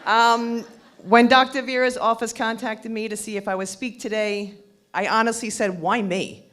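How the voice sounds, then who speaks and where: A woman in her fifties speaking loudly and with self-deprecating humor as she tells her own story to an audience. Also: fairly high pitch, steady tone, moderate pace. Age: 50s. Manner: loud, joking, self-deprecating. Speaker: patient speaker. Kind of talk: personal story at a public event.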